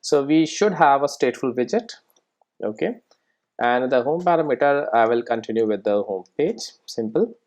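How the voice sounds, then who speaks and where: A man with a mid-pitched voice presents an informal programming tutorial. He explains step by step in a conversational way, often ending his sentences with a quick check-in question to the learner. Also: lively intonation, a little mellow. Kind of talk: informal screencast coding tutorial.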